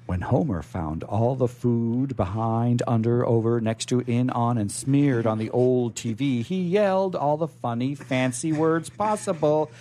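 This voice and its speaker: A man hosting a radio show, chatting and reading aloud in a rambling, halting way, often repeating words. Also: medium pitch, dark tone. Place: radio studio. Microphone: studio microphone.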